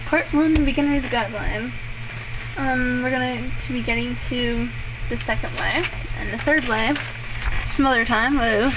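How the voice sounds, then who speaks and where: A young woman hosting a casual drawing tutorial, rambling and self-deprecating, with fillers and a voice that turns raspy at times. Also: a little high, normal speed. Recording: clearly noisy home recording.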